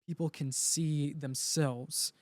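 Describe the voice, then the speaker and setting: A young man from Puerto Rico, a native Spanish speaker, talks earnestly as a guest in an interview. His voice sits a bit high for a man. He restarts his sentences often, and the sound is dry and close, with no room echo. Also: steady tone, takes it slowly, darkish tone. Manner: earnest, heartfelt, with frequent restarts. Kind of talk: casual interview conversation.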